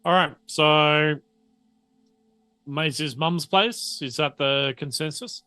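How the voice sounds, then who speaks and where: A man's voice: fairly high pitch, takes it slowly, bright, forceful tone, clean tone, dry recording. An Australian man running a tabletop game casually, hesitantly piecing his narration together as game master.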